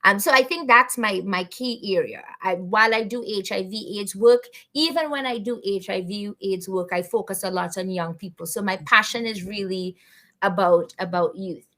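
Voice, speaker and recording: A woman's voice: medium pitch, moderate pace, a bit bright. A woman guest on a podcast, talking earnestly and reflectively, with frequent fillers and restarts. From Canada. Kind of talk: conversational podcast interview.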